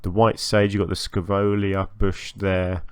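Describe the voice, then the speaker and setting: A man giving casual, chatty commentary as host of a gaming channel, a little hoarse from a long recording session, hesitant and self-effacing. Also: sits low, flat delivery, measured pace, no echo. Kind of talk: casual game build commentary.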